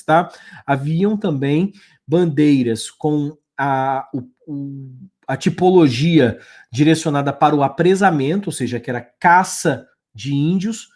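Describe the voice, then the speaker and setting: A man's voice: on the high side, darkish tone. A man teaching a history lesson over slides, talking loosely with frequent fillers, restarts and check-ins with the class. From Brazil.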